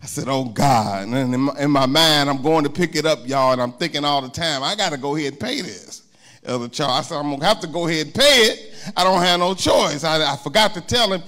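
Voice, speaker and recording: Preaching middle-aged man, higher-pitched. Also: quick pace, sharp tone. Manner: conversational preaching with call-and-response asides. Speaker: pastor. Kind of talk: sermon. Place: church sanctuary, indoors. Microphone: handheld microphone.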